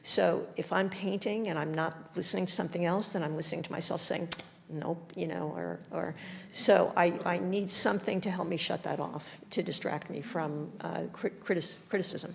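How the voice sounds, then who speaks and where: Gravelly low woman in a reverberant hall. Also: fairly even intonation, normal speed. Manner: candid, self-deprecating. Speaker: panelist artist. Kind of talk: casual panel discussion.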